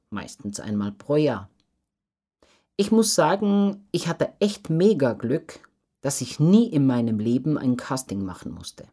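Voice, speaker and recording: A woman with a very low-pitched voice answers questions in an interview. She speaks slowly and earnestly, with lively rises and falls in pitch, and puts her thoughts clearly. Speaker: interview guest, professional circus acrobat.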